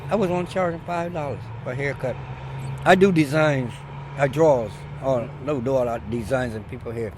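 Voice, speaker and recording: A middle-aged man from Barbados, a former barber, telling stories in an animated, emphatic way during a casual outdoor interview by a street. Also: medium pitch, fairly quick. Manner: animated storyteller, loud emphatic bursts. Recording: faint street noise outdoors.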